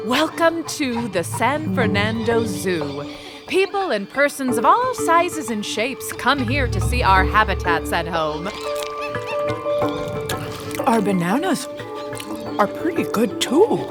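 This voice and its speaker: Woman as chatty orangutan storyteller, swooping pitch. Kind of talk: children's audio drama narration.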